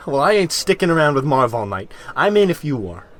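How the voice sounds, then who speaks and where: A fairly high, swooping male voice acted by a man plays an eager old-time barfly. He is halting and slangy, a wisecracker who hesitates his way through a radio comedy. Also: big pitch swings, a bit bright.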